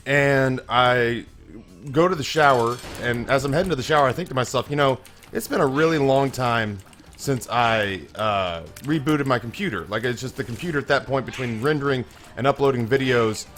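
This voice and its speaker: A young man with a slightly hoarse, middle-pitched voice talks over his gameplay in a loose, rambling way. He stumbles and restarts his sentences as he tells a self-mocking story. Faint music plays quietly behind him. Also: normal speed. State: a little hoarse. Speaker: gaming channel host. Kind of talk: casual let's-play commentary.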